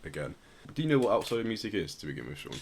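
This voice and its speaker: Low-voiced man chatting on a podcast. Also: very animated, rapid-fire, slight background noise. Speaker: podcast host. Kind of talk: casual explanatory podcast chat.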